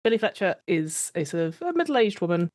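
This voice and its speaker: A woman's voice: lowish voice, big pitch swings, a little fast, darkish tone. Female roleplay podcast player, chatty and meandering, casually inventing details as she goes. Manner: chatty, rambling, self-questioning. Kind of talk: casual improvised description for tabletop roleplay.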